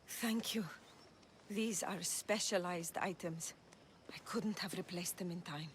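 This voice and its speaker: A woman playing a shrewd, composed healer in a video game, with a measured, assured manner. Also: fairly low pitch, slight background noise. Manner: assured, measured, matter-of-fact. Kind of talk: video game cutscene acting.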